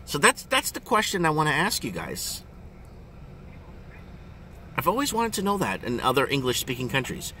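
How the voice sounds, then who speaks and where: A middle-aged man talks casually to his viewers in a fairly high, bright, forceful voice. He rambles and hammers his questions with animated emphasis. Faint engine and road noise runs behind him inside the car. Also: moderate pace, pauses often. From Ontario, Canada. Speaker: vlogger.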